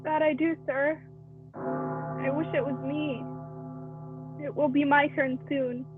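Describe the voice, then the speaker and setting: Music runs behind a dull, lo-fi radio play recording. A woman plays a young maid with a high voice, timid and sorrowful, who speaks meekly and hesitantly. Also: flat delivery, slow pace. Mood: despairing. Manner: meek, hesitant.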